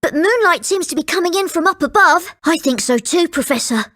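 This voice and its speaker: A woman voicing a polite, earnest and excitable young boy in a video game. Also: very high voice, brisk. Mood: curious, polite.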